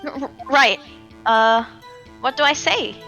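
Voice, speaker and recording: Woman playing an eager, high-voiced girl assistant. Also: slow, pushed and bright, lo-fi sound, soft background music, exaggerated voice. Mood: eager, flustered. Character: young female assistant, eager and unsure. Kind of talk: amateur video game dub.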